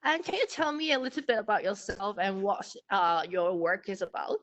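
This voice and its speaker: A woman's voice: mid-range voice, very animated, normal speed, a bit bright, muffled recording. A woman hosting an online talk for an aquarium, welcoming and a little hesitant, with fillers, over a video call. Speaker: aquarium host.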